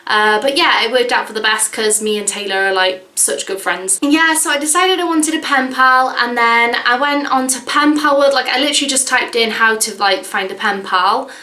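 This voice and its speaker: A woman's voice: a little high, moderate pace, few pauses, bright, forceful tone, reverberant. A woman, chatting casually in a vlog with lots of fillers and "like"s. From Wales. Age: 20s. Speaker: vlogger. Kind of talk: casual chat.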